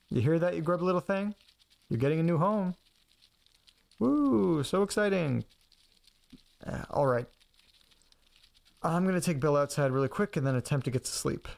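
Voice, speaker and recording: A man's voice: on the high side, creaky, darkish tone. A man acting as an anxious, chatty young man in an audio drama, rambling and hesitant in a casual, naturalistic way. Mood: playful, then tired, musing. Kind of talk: naturalistic first-person audio drama, talking to himself.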